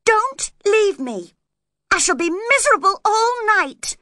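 A woman's voice: extremely high pitch, sing-song, normal speed, pushed and bright, no room sound, studio quality, voice pushed up, full character voice. A woman voicing a spoilt, hot-tempered young woman in a storybook, cross, emphatic and imperious. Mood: distraught.

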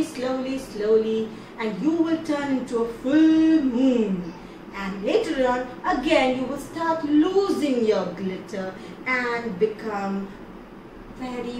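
A woman voicing an angry elephant-headed male god who speaks in stern, commanding tones. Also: on the high side. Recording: echoey, distant, noisy.

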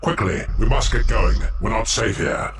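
A man's voice: fairly low pitch, lively intonation, moderate pace, noisy background, lightly acted. A man voicing a grave, guilt-ridden mad scientist creature in a cartoon, with dramatic, formal delivery. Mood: urgent. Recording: music behind the voice.

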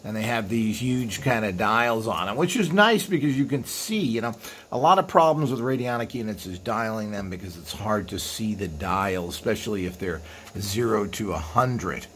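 A man with a fairly low voice talks through equipment in an informal, explanatory way. He rambles as he goes, like a channel host filming by hand in a workroom. There is clear noise and room ambience behind him. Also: moderate pace, a bit bright. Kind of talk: informal hands-on product walkthrough.

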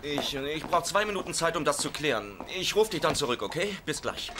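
A man acts out an easygoing sitcom husband with a boyish, excuse-making streak. His delivery swings widely in pitch and sounds playful and exaggerated. Heavy noise and clicks cover the recording. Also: animated, fairly quick, natural voice. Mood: pleading, excited. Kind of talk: dubbed sitcom dialogue. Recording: noisy audio with clicks.

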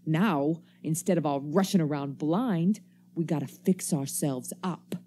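A woman's voice: sits low, measured pace, warm tone, a little hiss or hum. A woman acting a wary, embittered survivor in a radio play, with folksy, slangy, cynical speech. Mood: scheming, scornful. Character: wary, embittered survivor stranger armed with a knife.

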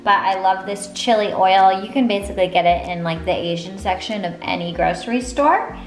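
A woman's voice: medium pitch, brisk. A woman in her thirties chatting casually in an upbeat, homey way while she cooks in her home kitchen, filmed by a camera on the counter. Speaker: fitness vlogger. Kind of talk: casual cooking vlog. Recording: echoey kitchen with background music.

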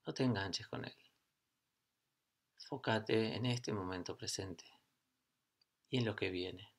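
A middle-aged man leads a guided meditation in a fairly low voice. He speaks calmly, in short phrases, and leaves long pauses between them. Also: vocal fry. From Argentina. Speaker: yoga and meditation teacher.

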